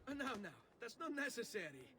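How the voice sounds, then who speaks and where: A man's voice: extremely high pitch, sing-song, brisk, pushed and bright, full character voice. An actor playing a cocky, hot-blooded young Italian nobleman in a dramatic video game scene, with an Italian accent. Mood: placating. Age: young adult.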